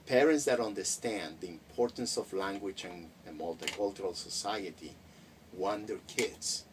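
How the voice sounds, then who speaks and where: Middle-aged man, native Spanish speaker, lowish voice, halting and reflective, distant mic, faint hiss. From Mexico. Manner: reflective, many hesitations and restarts. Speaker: interviewee. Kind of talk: casual interview.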